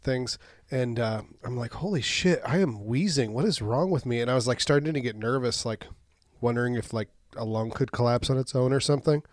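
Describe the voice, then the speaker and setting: A man's voice: medium pitch, brisk, no room sound, clean studio sound. A man co-hosting a podcast with a chest cold, recapping a movie in a joking, chatty way. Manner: joking, lively recaps with acted-out quotes. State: congested, slightly wheezy. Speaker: podcast co-host. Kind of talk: casual movie recap chat.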